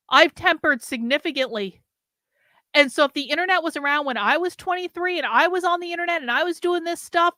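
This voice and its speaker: A woman with a bright, forceful voice riffs bluntly over a clip, full of "like"s and the occasional curse. Her voice sits a touch high and sounds dry and close, with no room around it. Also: dry recording. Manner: blunt, rambling asides with frequent "like" and swearing. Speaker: commentary host reacting to a clip. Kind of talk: casual reaction commentary.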